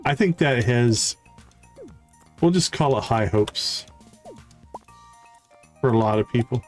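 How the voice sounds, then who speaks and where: A man streams a game with casual, unhurried commentary in a mid-range voice. He thinks aloud in short remarks, often repeating a word to himself. Quiet music plays behind him. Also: mid-range voice, expressive. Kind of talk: casual live gameplay commentary.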